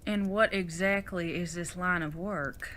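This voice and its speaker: A woman's voice: on the low side, steady tone, a little slow, a bit bright, slight background noise. A woman acting in an audio drama as a retired outlaw mother, older, fond and teasing, with a folksy Old West way of talking. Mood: exasperated.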